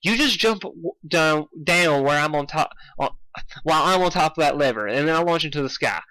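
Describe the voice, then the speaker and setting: Man voicing a brash, raised-pitch young female pony. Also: animated, a little fast, sharp tone, dry recording. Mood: confident.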